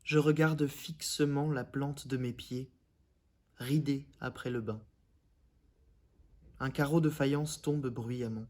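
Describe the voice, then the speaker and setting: A young man, a conservatory student, reciting literary poetry with measured, deliberate phrasing. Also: mid-range voice, steady tone, darkish tone. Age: young adult.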